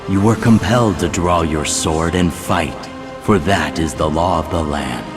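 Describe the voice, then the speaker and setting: Casting: mysterious herald of prophecy in a fantasy game, deep and unhurried, solemn and grand. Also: male voice, over music. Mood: grave. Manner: portentous, deliberate, formal. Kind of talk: video game cutscene narration.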